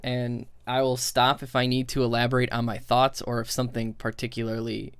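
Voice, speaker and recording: A man's voice: mid-range voice, monotone, brisk, smooth, dry recording. A man giving casual, opinionated stream commentary in a blunt, sardonic, sweary way. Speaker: streamer and critic.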